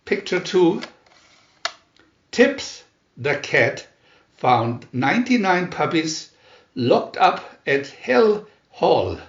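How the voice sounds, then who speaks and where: A man reads a children's storybook with deliberate care. His pitch swings widely as he goes, and the recording is dull and roomy, with a clear echo around the voice. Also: sing-song. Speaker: storybook narrator. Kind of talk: scripted children's story reading. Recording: roomy, echoey, dull sound.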